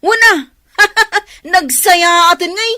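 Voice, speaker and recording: A woman acting an excitable, easygoing motorbike rider in a village radio comedy drama, exclaiming with drawn-out delight. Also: very high-pitched, dry recording, exaggerated voice.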